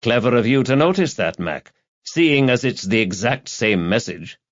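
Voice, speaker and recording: A man's voice: mid-range voice, expressive, normal speed, dull audio, close to an everyday voice. A man voicing a wry, world-weary male detective sergeant in an audiobook, speaking in a dry, terse way.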